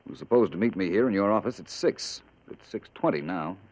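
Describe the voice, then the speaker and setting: An actor playing a shrewd, self-assured detective with an American accent, speaking in a measured, dry way in 1950s radio drama style. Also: male voice, sits low, lively intonation, darkish tone, no room sound. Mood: dry, analytical. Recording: muffled old radio drama recording.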